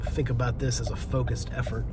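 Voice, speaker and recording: Stuffy-nosed guy in his 30s talking to himself outside the gym, lots of um and you know, cars rumbling loud behind. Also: level tone, fairly quick. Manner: loose, rambling self-talk with lots of fillers and swearing. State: congested. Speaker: vlogger. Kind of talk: casual post-workout vlog. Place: outdoors by a road, leaving the gym. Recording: loud traffic noise outside. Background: traffic rumble.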